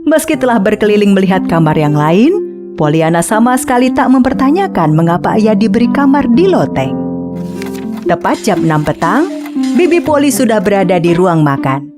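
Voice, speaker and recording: Lively woman storyteller over music. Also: mid-range voice, normal speed. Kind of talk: scripted children's story narration.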